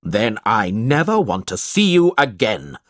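A man's voice: fairly low pitch, very animated, measured pace, fairly bright tone, husky, no echo, clean studio sound, heavily acted. A man voicing a stern, cold older uncle in a storybook, accusing and commanding. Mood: angry.

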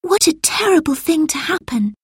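A woman's voice: high voice, sing-song, normal speed, no room sound, studio quality, pitched above the performer's own voice. A woman voicing an earnest, concerned young girl in a children's storybook. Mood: dismayed.

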